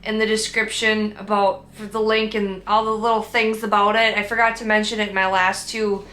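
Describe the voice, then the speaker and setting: Chatty Minnesotan woman, bright echoey room. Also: medium pitch, flat delivery, moderate pace. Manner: chatty, rambling, upbeat, with frequent fillers and restarts. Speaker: artist vlogger. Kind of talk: casual vlog about her painting.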